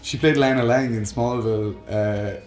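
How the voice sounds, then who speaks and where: A man's voice: mid-range voice, moderate pace, darkish tone. A man co-hosting a casual, opinionated chat about games and films in an informal way. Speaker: podcast co-host. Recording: roomy echo, music underneath.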